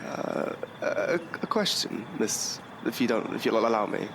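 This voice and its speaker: An actor playing a shy, earnest, lovesick young suitor in an audio drama, speaking haltingly and awkwardly. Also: male voice, on the low side, animated, gravelly. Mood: nervous. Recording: noisy outdoor street ambience behind.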